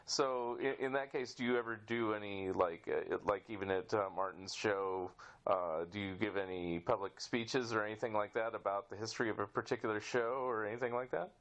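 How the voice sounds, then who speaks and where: A man hosting a podcast interview in casual chat, with halting, rambling questions. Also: fairly low pitch, fairly bright tone.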